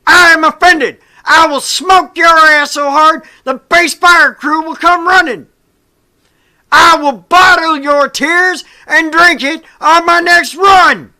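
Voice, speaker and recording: A man voicing a furious military drill sergeant who barks menacing, drawn-out threats at recruits. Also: very high-pitched, bright, forceful tone, pitched above the performer's own voice, full character voice.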